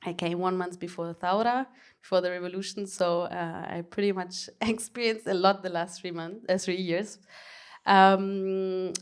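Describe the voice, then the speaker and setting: Young German-accented woman for a panel talk, hesitant, lower-pitched, clear smooth tone. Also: steady tone, moderate pace. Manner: hesitant, many fillers and restarts. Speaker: panel speaker, arts project co-manager.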